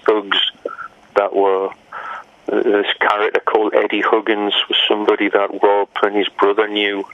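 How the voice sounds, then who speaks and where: A man's voice: on the low side, normal speed, no room sound. An older man telling stories in a casual interview, often mimicking other people and laughing. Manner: lively storyteller who mimics others' voices and laughs often. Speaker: rock musician interviewee. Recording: dull lo-fi audio.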